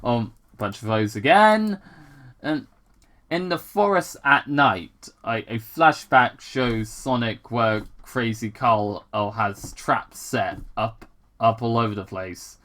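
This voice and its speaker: A person casually reading fan fiction aloud with commentary, halting and repeating words, with some swearing. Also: medium pitch, a bit bright. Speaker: gaming channel host reading fan fiction.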